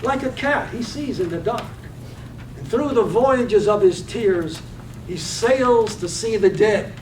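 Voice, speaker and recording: A man playing the lyrical, measured narrator in a staged reading of a poetic radio drama. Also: high voice, expressive, a little mellow. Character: lyrical, measured storyteller of a night-time town. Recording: roomy, distant mic, faint noise.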